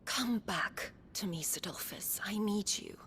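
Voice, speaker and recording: A woman's voice: mid-range voice, unhurried, a bit bright, slight background noise. A proud scheming noble lady, cold and mocking, mid-range voice. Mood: seductive. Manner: haughty and mocking. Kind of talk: game cutscene acting.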